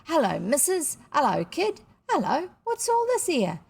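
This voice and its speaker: A woman's voice: high-pitched, wildly swooping pitch, unhurried, gravelly, darkish tone, voice pushed up, exaggerated voice. A woman voicing a boisterous, jovial working-class father in a children's storybook, colloquial and full of exclamations. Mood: cheery greeting. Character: boisterous, hearty working-class father just back home. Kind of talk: children's storybook character reading.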